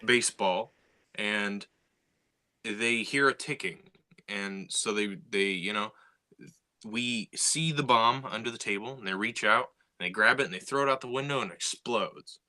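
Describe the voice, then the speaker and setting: A man's voice: fairly low pitch, unhurried, bright, forceful tone. A man co-hosting a casual podcast, explaining his ideas and building his points step by step. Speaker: podcast co-host and writer.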